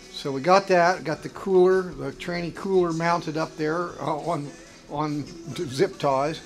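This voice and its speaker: An older man chatting casually and wryly while working on an old car in his home garage. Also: fairly high pitch. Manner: folksy, rambling, wry. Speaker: mechanic and show regular. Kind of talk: casual workshop chat.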